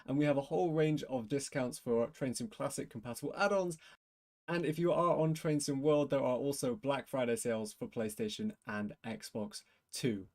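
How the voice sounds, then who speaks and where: A man presenting a brisk, scripted promotional announcement for his channel. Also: medium pitch, steady tone, a little mellow.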